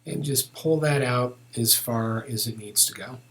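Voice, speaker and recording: A man giving a casual step-by-step software tutorial, thinking aloud informally as he works. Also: mid-range voice, measured pace.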